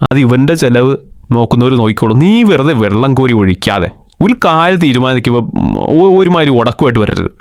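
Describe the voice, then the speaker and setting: A man's voice: medium pitch, animated, fast talker, dry recording, clean studio sound, lightly acted. A young man from Kerala voicing a bossy, scheming cartoon friend who lectures the others.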